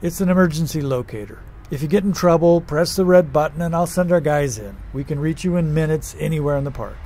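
An actor playing a folksy, patronizing security guard who gives ominous warnings in an audio drama. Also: male voice, fairly high pitch, brisk, a little mellow, natural voice.

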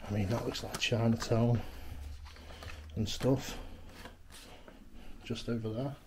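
A man's voice: mid-range voice, steady tone, slow, darkish tone, a little hiss or hum. A man narrating a walkthrough of an abandoned house in an offhand, chuckling way, recorded on a handheld camera indoors. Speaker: urban explorer vlogger. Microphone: camera mic, handheld walkthrough.

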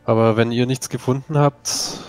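A man's voice: medium pitch, steady tone, normal speed, a little mellow, clean tone, soft background music. A man speaking in character in a tabletop role-playing game, hesitant and searching for words.

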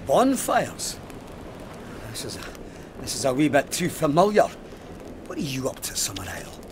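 An actor in an audio drama playing a stubborn, haunted police sergeant, intense, righteous and suspicious. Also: male voice, a little high, very animated, moderate pace, a little hiss or hum. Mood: wary.

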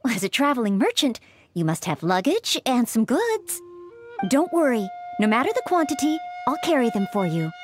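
A woman voicing an earnest, gentle young maid in a video game, speaking politely and reassuringly over music. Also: a little high, very animated, normal speed. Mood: polite, confident. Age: young adult. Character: earnest, gentle young maid knight-in-training, eager to help. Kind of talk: video game character acting.

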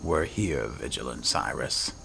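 A man narrating a fantasy game in character, measured, solemn and dramatic. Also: very deep voice, creaky, bright, forceful tone, faint music behind. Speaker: gaming video host playing in character.

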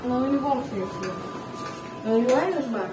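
A female speaker chatting casually with family in an excited, playful way. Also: a little high, normal speed, distant mic, music in the background. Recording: echoey, noisy, off-mic phone recording.

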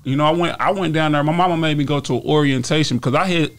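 A man in his thirties with a Texas accent, a guest rapper talking in a relaxed, rambling way with lots of "you feel me" in a small studio on a stand mic. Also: a little high, racing. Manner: relaxed, repeats phrases and fillers, gestures while talking. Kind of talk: casual interview storytelling. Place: small podcast studio indoors. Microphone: studio mic on stand with headphones.

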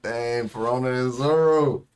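A man's voice: mid-range voice, measured pace, smooth. A young American man chatting casually and slangily as he reacts to a show. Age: young adult. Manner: slangy, informal. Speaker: anime reaction host. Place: small indoor room, seated.

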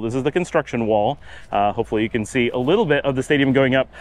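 A middle-aged man talks to his camera while strolling through a sunny outdoor park. His voice sits at an everyday middle pitch, and he explains things in a relaxed, chatty way, often pausing on an "uh." He's recorded from a handheld camera at arm's length, out in the open air. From United States. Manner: chatty, explanatory, with many uh fillers. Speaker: travel vlogger. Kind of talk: casual walking vlog narration. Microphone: camera held at arm's length.

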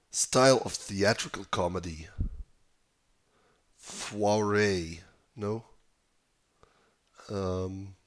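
Young male host, raspy and a touch low, slow and hesitant, solving a crossword out loud on a headset with typing behind. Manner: mutters, hesitates, trails off while thinking. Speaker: puzzle video host. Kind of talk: casual think-aloud while solving a crossword. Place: small room indoors.

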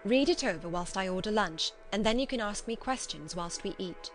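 Modest young Victorian lady as a woman narrator plays her, demure, well-mannered, gentle, storybook reading with music behind. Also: medium pitch, expressive, normal speed, natural voice. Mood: polite, apologetic.

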